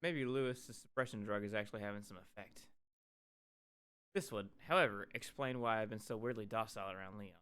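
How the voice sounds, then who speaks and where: A man's voice: on the low side, brisk, a bit bright, clear, resonant tone, pitched below the performer's own voice, lightly acted. Man reading a dry, sarcastic young heroine. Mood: wry, resigned. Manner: dry, sardonic. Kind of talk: video game dub, casual read.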